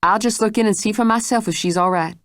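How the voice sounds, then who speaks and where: A woman's voice: medium pitch, fast talker, dry recording, clean studio sound, voice pushed up, close to an everyday voice. A woman voicing a stern, determined housekeeper who scolds and threatens. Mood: threatening. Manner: scolding, forceful.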